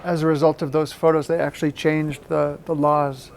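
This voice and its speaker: Interviewee: American man in middle age, thoughtful and halting, fairly level melody, faint ambient noise behind. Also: fairly high pitch, flat delivery, gravelly. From Maine, United States. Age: middle-aged. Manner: halting, thoughtful, repeats words for emphasis. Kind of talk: reflective interview talk.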